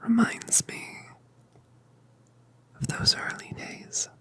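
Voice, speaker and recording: A woman voicing a colossal, tender mother dragoness in a whispered ASMR roleplay, speaking softly and soothingly. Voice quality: breathy whisper. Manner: soft, slow, soothing.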